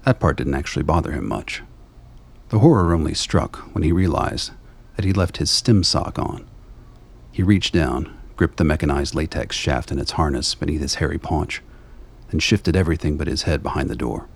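Male drama narrator, extremely deep and raspy, scripted noir storytelling. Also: animated, normal speed.

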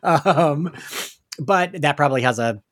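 A man's voice: fairly high pitch, a little slow, fairly bright tone. A man co-hosting a podcast, chatting casually and animatedly as he recaps a story and acts out little quotes. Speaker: podcast co-host.

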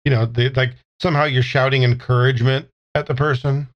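Male tabletop player, medium-pitched, dry humour, conversational. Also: steady tone, fairly quick, dull audio. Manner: wry, joking. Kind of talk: casual tabletop roleplay banter.

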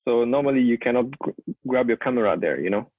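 A young French man with a French accent, a photographer telling his story in a casual interview, often halting and repeating words as he searches for English. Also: medium pitch, expressive, breathy edge, no room sound. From France. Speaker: guest photographer. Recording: tinny call audio, dry.

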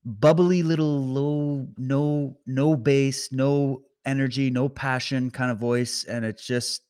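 A man hosting a political commentary stream, talking straight to his viewers with animated sarcasm. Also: mid-range voice, takes it slowly, clean tone. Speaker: commentary host.

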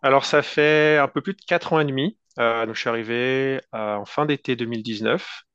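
A man's voice: medium pitch, moderate pace, a bit bright, clear, resonant tone, dry recording, highs cut off. A man in his thirties from France with a French accent, answering interview questions in a casual, hesitant way with many fillers and restarts. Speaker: guest entrepreneur.

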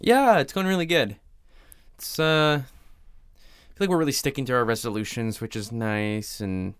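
A man's voice: mid-range voice, unhurried, smooth, no echo, studio quality. A man co-hosting a podcast in casual, conversational chat. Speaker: podcast co-host.

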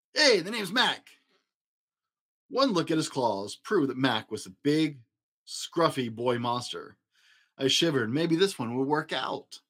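A man narrating a children's picture book in an expressive storytelling style. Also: on the high side. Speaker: storybook reader.

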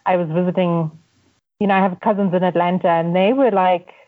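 A woman with a Zimbabwean accent speaks in a slightly low voice, reflective and searching, often restarting her sentences. She is heard over a narrow, tinny call line. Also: fairly even intonation, a little fast. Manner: reflective, many restarts and fillers. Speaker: podcast guest. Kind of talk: casual interview conversation.

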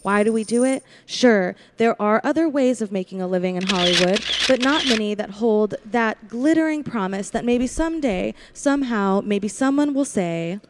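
A woman's voice: fairly high pitch, lively intonation, normal speed, hardly any pauses, a little hiss or hum, lightly acted. A woman playing a young, lonely drive-in waitress in a 1940s-style radio play, confiding and wary. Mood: wistful, confiding. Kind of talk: 1940s radio play acting, first-person narration.

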